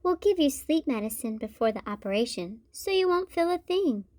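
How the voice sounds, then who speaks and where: A woman's voice: sits high, expressive, moderate pace, darkish tone, no room sound, studio quality, voice pushed up. A woman voicing a kindly female bear doctor in a children's storybook, explaining things reassuringly. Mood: gentle explaining.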